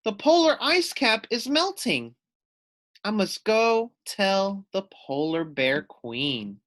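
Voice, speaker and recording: Storybook loon, small, female, anxious and earnest, played by a man. Raised pitch, dramatic wailing delivery. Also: very animated, takes it slowly, fairly bright tone, full character voice. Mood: alarmed wailing.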